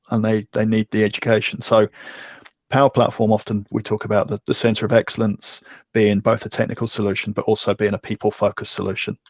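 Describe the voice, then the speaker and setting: A man's voice: lowish voice, dry recording, highs cut off. A man explaining technical subjects conversationally as an interview guest, talking in a discursive way. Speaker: podcast guest, technical architect.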